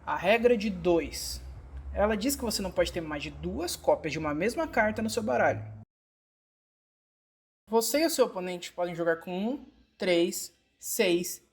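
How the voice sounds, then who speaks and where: A woman's voice: medium pitch, darkish tone, husky. A woman with a Brazilian accent reads scripted instructional narration, explaining things step by step as in a fan-dubbed tutorial.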